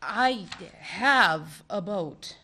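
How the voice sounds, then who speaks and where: An actress playing a feisty woman who owns a failing boat marina and is quick to yell. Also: medium pitch, lively intonation, heavily acted. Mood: wary. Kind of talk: stage-play acting.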